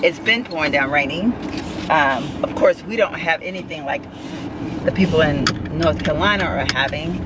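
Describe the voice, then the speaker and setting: Congested woman chatting in a noisy car. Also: deep voice. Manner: rambling, chatty, drawn-out fillers. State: congested with a cold. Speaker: vlogger. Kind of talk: casual chat to camera. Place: inside a parked or moving car. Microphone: phone camera on the dashboard. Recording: loud car and road noise.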